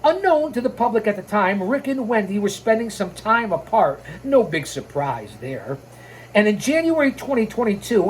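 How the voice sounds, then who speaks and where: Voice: mid-range voice, darkish tone, a little hiss or hum, poor audio. An opinionated show host who reads a scripted wrestling biography and breaks into blunt, sarcastic, informal asides with swearing.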